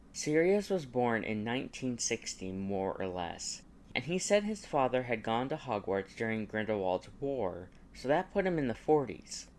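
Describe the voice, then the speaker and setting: Male narrator for fan fiction audiobook, medium pitch, story-reading delivery. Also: slight background noise.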